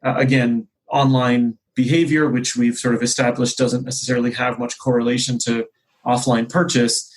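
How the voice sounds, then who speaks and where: Husky man explaining business ideas. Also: mid-range voice, flat delivery, moderate pace, low-quality recording. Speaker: company executive, interview guest. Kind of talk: conversational business discussion, explanatory.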